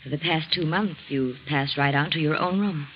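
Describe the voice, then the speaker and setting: An actress playing an anguished, accusing wife in a dramatic, imploring 1940s radio drama style. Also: female voice, low-pitched, moderate pace, lo-fi sound, lightly acted. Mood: suspicious, wounded. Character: anguished, accusing wife clinging to a failing marriage. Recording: noisy vintage radio drama recording.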